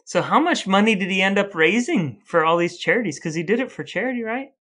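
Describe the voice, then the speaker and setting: A man's voice: high voice, animated, fast, fairly bright tone. A man co-hosting a podcast in casual chat, asking curious questions and adding dry quips. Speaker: podcast co-host.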